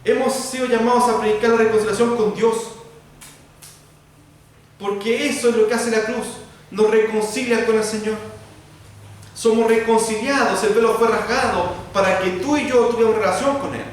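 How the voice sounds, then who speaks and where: A Chilean pastor preaching an expository sermon, addressing the congregation directly in repeated parallel phrases. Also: male voice, high-pitched, steady tone, moderate pace. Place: church sanctuary. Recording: very echoey church, voice from far off, faint noise.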